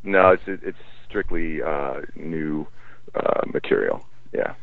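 A man who is a musician, talking in a relaxed, thoughtful way and often restarting his sentences in a casual interview. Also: very deep voice, takes it slowly, gravelly, dry recording. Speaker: musician guest. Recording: tinny phone line, dry.

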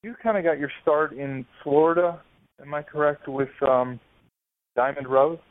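A man hosting a radio interview, asking questions with many pauses and fillers. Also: fairly high pitch, fairly even intonation. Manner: hesitant, lots of ums and uhs. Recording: tinny phone-like sound.

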